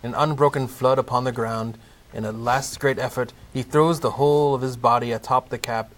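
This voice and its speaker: A man's voice: mid-range voice, steady tone, moderate pace. A man narrating a comedic Christmas audio play from a script, in storybook style. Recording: clearly noisy amateur recording.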